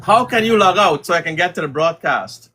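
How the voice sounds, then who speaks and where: A middle-aged man from Guyana hosting a casual livestream as a community leader, talking in a halting way with many fillers. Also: sits high, animated, sharp tone, slight background noise.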